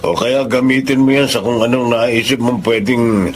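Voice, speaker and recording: A man's voice: medium pitch, fairly even intonation, moderate pace, soft background music, lightly acted. An actor playing a wealthy employer who talks to his driver in a commanding, curt way. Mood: grateful. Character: stern wealthy male employer.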